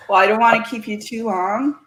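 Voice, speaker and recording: A woman's voice: on the high side, a bit bright. Woman chatting in an echoey room. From Canada. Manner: chatty, folksy, laughs often. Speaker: interview guest. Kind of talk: casual chat. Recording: roomy, echoey sound.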